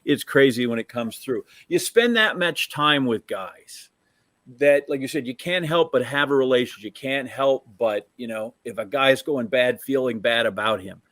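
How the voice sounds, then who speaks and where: A man's voice: mid-range voice, lively intonation, moderate pace, a bit bright, no echo. A middle-aged American man hosting casual sports talk, anecdotal and opinionated in his questions. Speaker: sports talk host, interviewer.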